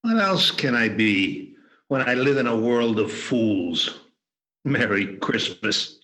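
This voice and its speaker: A man acts out a miserly, grumpy old man for a radio play. The voice is hard and tense, very slow, and full of scorn for everyone. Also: medium pitch, expressive, sharp tone. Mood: bitter, scornful. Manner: scornful, emphatic, drawn-out delivery. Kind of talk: amateur radio play acting. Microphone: video call microphone.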